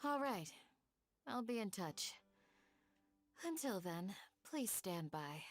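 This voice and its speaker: Creaky, measured, stern guardian woman. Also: medium pitch, sing-song. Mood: composed, polite. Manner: formal, measured, authoritative. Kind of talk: video game dialogue acting.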